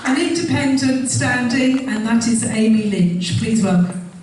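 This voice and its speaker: A woman's voice: on the high side, a little slow. A woman moderating a hustings, making brief announcements to introduce each candidate. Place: public hustings hall. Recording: echoey hall from far off with loud crowd noise.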